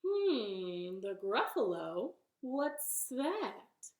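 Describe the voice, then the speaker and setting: Woman voicing a cowardly, high-voiced storybook snake. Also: very animated, extremely slow, darkish tone, full character voice. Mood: inviting. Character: hungry snake, polite but cowardly. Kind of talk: animated children's storytelling. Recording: roomy, echoing sound.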